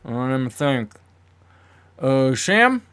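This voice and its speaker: A man voicing a sly, muddled old patriarch in an audiobook, talking in a slow, halting, folksy way. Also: mid-range voice, very animated, a bit bright, clean tone, pitched above the performer's own voice, full character voice. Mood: slyly genial. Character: confused, sly old patriarch in a gothic tale.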